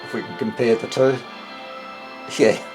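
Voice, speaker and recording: A man's voice: mid-range voice, fairly even intonation, unhurried, over music. An older man giving casual, unscripted commentary at his workbench, rambling and thinking aloud. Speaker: hobbyist radio repairer and presenter. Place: small indoor home workshop.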